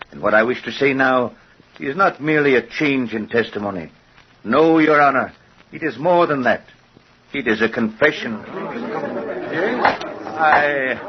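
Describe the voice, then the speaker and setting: An actor playing a hateful, aging inventor and stepfather in an old-time radio horror drama, speaking in formal, theatrical, deliberate diction. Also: medium pitch, slight background noise, close to an everyday voice. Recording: muffled, husky-sounding old radio recording.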